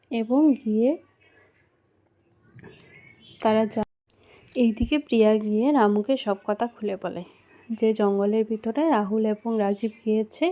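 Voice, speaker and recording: A woman's voice: fairly high pitch, mellow. A woman telling a scripted horror cartoon story for children as its storyteller. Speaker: storyteller and channel host.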